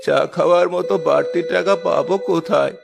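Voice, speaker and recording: Male actor as poor beggar, extremely creaky voice, humble, cartoon, music behind. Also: fairly high pitch, fairly even intonation, brisk. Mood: humble, wistful. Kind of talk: cartoon dub.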